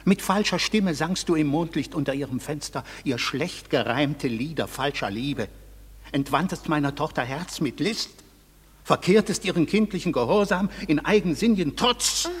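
An actor playing a stern, aggrieved father in a classical verse radio drama, declaiming indignantly with a husky voice. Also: a little high, normal speed. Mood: indignant, accusing. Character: stern, aggrieved father demanding his paternal rights. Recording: hall-like reverb.

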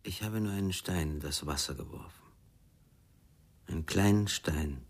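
Deep, husky, detached confidant. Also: male voice, moderate pace. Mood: calm, detached. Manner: laconic, detached, calm. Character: calm, cryptic, unyielding male confidant holding sessions. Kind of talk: radio crime drama dialogue.

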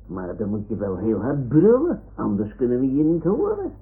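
A man's voice: medium pitch, huge pitch swings, moderate pace. A man voicing a boastful yet naive toy lion in a radio play, with a childlike way of talking. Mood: wondering. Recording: muffled phone-like old radio recording.

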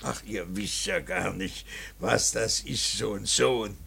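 Gravelly, earnest old father. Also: on the low side, pushed and bright, full character voice. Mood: reverent, moved. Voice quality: gravelly, husky. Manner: emphatic, repeating words, halting. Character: gruff, earnest, preachy older painter and father. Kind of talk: radio drama acting.

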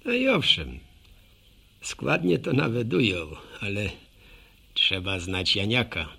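An older village man spinning homespun tales in a radio drama. His rural Polish speech is slow, wry and colloquial, full of asides. The voice is fairly low and gravelly, with a bright, forceful edge. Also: sing-song, exaggerated voice. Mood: wry, musing.

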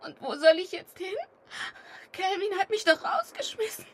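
A woman's voice: extremely high pitch, normal speed. An actress playing a needy, broke young female dancer in a radio drama, whiny, dramatic and self-pitying. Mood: whiny, upset.

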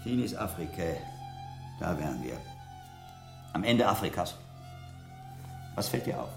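An actor playing a shrewd, scholarly older monk in a German radio drama, musing aloud like a patient teacher and investigator. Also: male voice, low-pitched, sing-song, unhurried, creaky, music in the background, full character voice. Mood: musing, intrigued.

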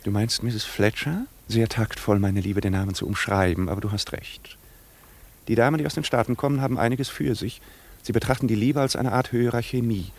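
An urbane, sardonic husband in a 1950s radio play. His voice is lowish, husky and creaky, measured and full of icy politeness. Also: steady tone. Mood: sardonic, cool. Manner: dry, ironic, measured. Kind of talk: 1950s German radio drama acting.

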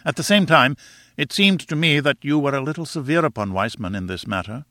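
Audiobook role: man acting nervous journalist, politely fawning and hesitant, bright tone, dry close sound. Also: medium pitch, animated, a little fast, dry recording, voice pushed up, natural voice. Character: nervous but cheeky male journalist posing as earnest student.

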